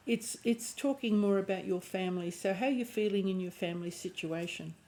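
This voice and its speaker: A woman with a croaky voice and a lingering cough giving an intuitive tarot reading, thinking aloud and often restarting her phrases. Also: mid-range voice, brisk, warm tone. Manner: musing aloud, restarting phrases, clearing throat. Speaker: tarot reader.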